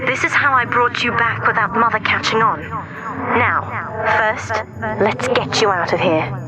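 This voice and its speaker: An actress playing a devoted, resolute young daughter, gentle and sheltered, speaking softly and deliberately in a dramatic video game. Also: female voice, on the high side, over loud music, lightly acted, rough recording. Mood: guiding, calm.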